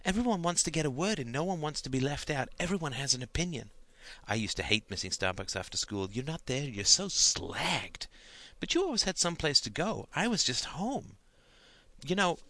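A man's voice: fairly high pitch, pushed and bright, dry recording, voice pushed up, heavily acted. A man voicing a gossipy, giggly teenage girl chatting in slangy audiobook dialogue. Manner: chatty, slangy, girlish delivery.

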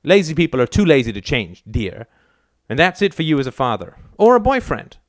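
A man's voice: fairly high pitch, sing-song, a little fast, no room sound, studio quality, pitched above the performer's own voice. A man reading aloud and voicing a blunt, crude, domineering older mother who lectures her son with scorn. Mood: sweetly cutting.

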